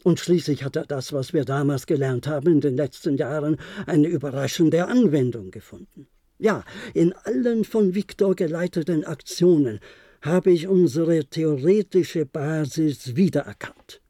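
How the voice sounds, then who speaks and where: An actor in a German radio play, playing an older, resigned former diplomat who reminisces in a measured, formal way. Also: male voice, a little high, warm tone, dry recording, studio quality. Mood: nostalgic, reflective. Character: resigned, reflective former diplomat, aging bunker survivor.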